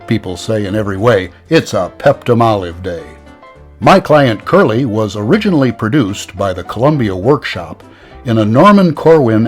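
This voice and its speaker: Male old-time radio announcer over music. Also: mid-range voice. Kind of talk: scripted old-time radio show introduction.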